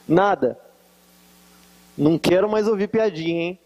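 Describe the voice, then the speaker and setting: A man with a high-pitched voice and a Minas Gerais accent, speaking as a guest preacher at a church conference. He talks in a relaxed, joking way and teases his listeners. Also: lo-fi sound. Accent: Brazilian Portuguese, Minas Gerais. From Varginha, Brazil. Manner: joking, teasing the audience. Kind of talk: conference sermon with jokes. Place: conference hall with live audience.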